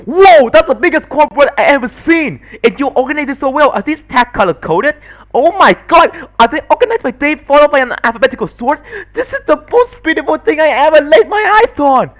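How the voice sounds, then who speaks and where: A man voicing an excitable young woman who fawns breathlessly in visual novel dialogue. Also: extremely high pitch, brisk, pitched above the performer's own voice. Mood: gushing delight. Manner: breathless, squealing, fawning. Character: gushing excitable young woman obsessed with corkboard organization.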